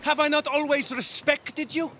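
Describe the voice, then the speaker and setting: Eccentric seafarer, very high sharp voice, excitable, theatrical grumbler, game drama. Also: male voice, moderate pace, slight background noise. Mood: aggrieved. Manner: theatrical, aggrieved. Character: excitable, grumbling seafarer devoted to sea god. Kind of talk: cinematic game dialogue.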